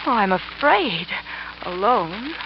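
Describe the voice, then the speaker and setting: An actress playing a greedy, scheming married woman in 1940s radio drama style, with a breathy, coaxing, hushed manner. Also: mid-range voice, big pitch swings, slow pace, noisy background. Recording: dull old radio recording.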